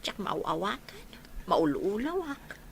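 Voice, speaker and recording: An actress playing a young pregnant wife, dutiful and a little whiny, with an Ilocano Filipino accent in radio drama style. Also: female voice, medium pitch, very animated, slow, a little hiss or hum. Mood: unwell, reassuring. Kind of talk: radio comedy-drama acting.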